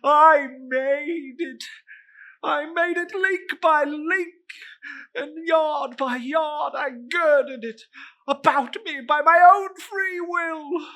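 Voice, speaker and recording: A woman voicing an anguished, mournful male ghost in chains, with drawn-out, wailing, solemn lines in a dramatic storybook reading. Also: high-pitched, pushed and bright, voice pushed up, full character voice.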